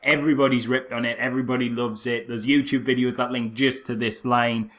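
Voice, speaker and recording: A man's voice: medium pitch, fairly quick. A man co-hosting a podcast, giving casual comedic film commentary and mimicking lines mockingly. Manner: animated retelling with mocking mimicked quotes. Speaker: podcast co-host.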